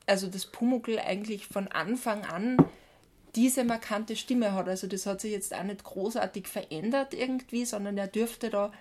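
A woman's voice: mid-range voice, normal speed, darkish tone, slight background noise. Austrian woman chatting as podcast co-host. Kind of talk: casual podcast conversation.

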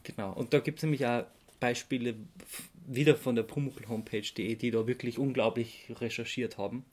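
Male podcast host, Austrian accent, conversational explainer, halting delivery with an amused edge. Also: mid-range voice, fairly even intonation, darkish tone. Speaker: podcast host presenting research. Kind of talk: casual podcast chat, explaining research.

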